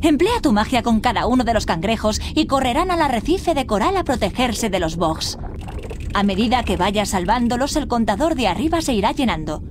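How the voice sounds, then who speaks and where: A woman's voice: a little high, very animated, a little fast, background noise. A woman reading scripted instructions as the tutorial narrator of a video game.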